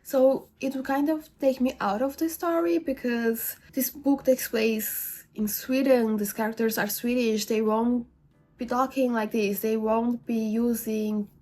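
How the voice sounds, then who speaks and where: A woman's voice: fairly high pitch, fairly even intonation, measured pace, a little mellow. A woman whose first language is not English, giving a casual, rambling book review with many fillers and self-corrections. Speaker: book vlogger.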